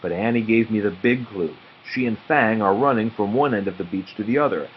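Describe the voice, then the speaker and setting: A man voicing an earnest, deadpan boy detective in a children's storybook read-aloud. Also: fairly low pitch, brisk, dull audio, a little hiss or hum, natural voice. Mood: earnest, assured.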